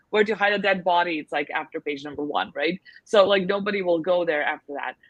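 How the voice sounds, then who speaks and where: A woman's voice: medium pitch, fairly bright tone, no echo, poor audio. A woman answering questions as a guest expert on a video call, chatty with many fillers and restarts. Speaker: guest expert on selling abroad. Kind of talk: casual advice in a video call Q&A.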